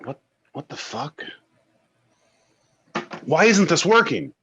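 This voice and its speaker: A man's voice: a little high, big pitch swings, slow, bright, forceful tone, muffled recording, a little hiss or hum, heavily acted. An actor playing an evasive, defensive wealthy man at a screenplay table read, hesitant and stammering. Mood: exasperated.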